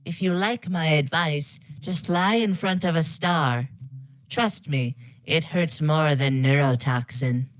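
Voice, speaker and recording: A woman's voice: deep voice, takes it slowly, dry recording. A synthetic woman's voice reading scripted dialogue in a stilted, disjointed way. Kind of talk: text-to-speech reading of garbled game dialogue.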